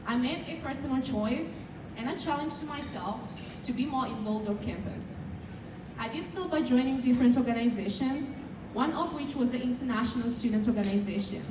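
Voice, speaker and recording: Kenyan woman speaking in an echoey hall. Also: on the high side. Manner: measured, earnest, sometimes shy. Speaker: student speaker. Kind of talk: prepared speech at a dinner. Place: large event hall. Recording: echoey hall, distant mic, noisy.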